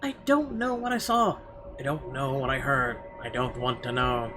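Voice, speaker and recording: A man reading a horror visual novel aloud in an expressive, theatrical narration with weighty pauses. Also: mid-range voice, noisy background. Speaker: let's player. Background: game music.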